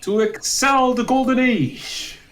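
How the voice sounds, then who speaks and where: An actor playing a kindly, long-winded old courtier, declaiming earnestly in a Shakespearean audio drama. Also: male voice, extremely high pitch, fairly bright tone, full character voice. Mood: grandly earnest. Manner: earnest, declamatory, deliberate listing.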